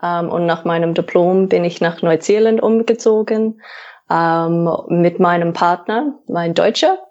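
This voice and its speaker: Slow, hesitant woman. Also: fairly low pitch, a little mellow, lo-fi sound. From Australia. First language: English. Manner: hesitant, searching for German words. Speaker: guest paramedic. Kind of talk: casual interview.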